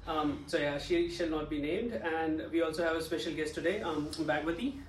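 A man hosting a podcast in a relaxed, casual way, swearing freely as he chats with guests. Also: a little high, moderate pace. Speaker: podcast host. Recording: echoey room, distant mic, faint background noise.

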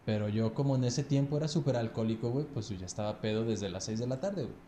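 Mellow Mexican man, casual and crude. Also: medium pitch, quick pace, reverberant, slight background noise. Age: 30s. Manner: relaxed, crude slang, ends phrases with "güey". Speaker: podcast guest. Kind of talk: casual storytelling chat.

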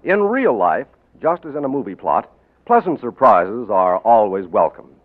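A male radio announcer reading a commercial in a smooth, upbeat selling manner. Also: medium pitch, very animated, normal speed. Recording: tinny old radio broadcast.

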